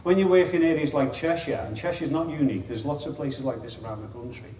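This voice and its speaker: A man's voice: a little high, quick pace, dark tone. A middle-aged man with a Liverpool accent talks to a meeting room full of people, chatty and wry. His voice sounds roomy and a bit distant from the mic, with a faint hiss underneath. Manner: chatty, self-deprecating, wry asides. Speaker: chief executive giving a conference talk. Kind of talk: informal presentation to an audience. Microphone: lapel mic.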